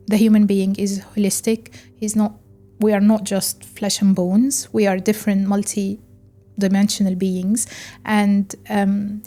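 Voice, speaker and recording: A woman from Egypt hosting a solo podcast, speaking in a calm, measured way with pauses and fillers. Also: medium pitch. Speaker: podcast host. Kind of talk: reflective solo podcast talk.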